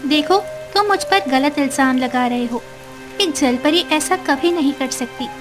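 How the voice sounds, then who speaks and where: A high, sweet mermaid played by a woman pleads her innocence with gentle earnestness. It is cartoon story dialogue, with music and rain clearly behind her. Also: unhurried. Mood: earnest, defensive. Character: gentle young female mermaid, earnest and wronged.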